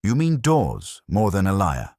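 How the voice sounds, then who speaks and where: A man's voice: deep voice, huge pitch swings, unhurried, a little mellow, dry recording, pitched above the performer's own voice, full character voice. A man voicing a wry, wisecracking detective's assistant with a dry, clipped manner in a mystery audiobook.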